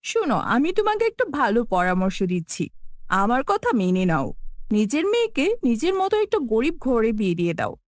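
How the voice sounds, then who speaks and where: A woman's voice: on the high side, huge pitch swings, moderate pace, dry recording, pitched below the performer's own voice. A woman playing a haughty, scornful village landlady in a cartoon fairy tale, condescending and incredulous.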